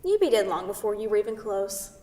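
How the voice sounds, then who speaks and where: A woman's voice: medium pitch, big pitch swings, quick pace, warm tone, lightly acted. A woman reading the part of a brash, teasing New York City woman in a staged play, pushy and playfully sarcastic. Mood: needling, superior. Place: theatre, recorded from a distance. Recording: distant mic in echoey hall.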